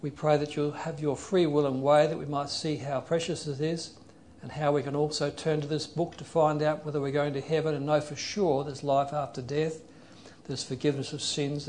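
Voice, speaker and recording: A man preaching a sermon in an earnest, explanatory way, leading a prayer and reading scripture passages aloud slowly. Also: on the high side, darkish tone, a little hiss or hum.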